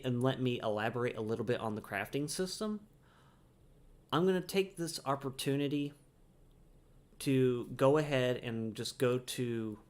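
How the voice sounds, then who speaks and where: A man giving casual, rambling livestream commentary over a game in a playful, chatty way. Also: mid-range voice. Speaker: game streamer.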